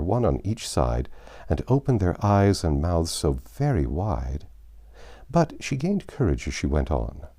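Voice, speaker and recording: Deep, gravelly, mellow male storybook narrator. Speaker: narrator, audiobook reader.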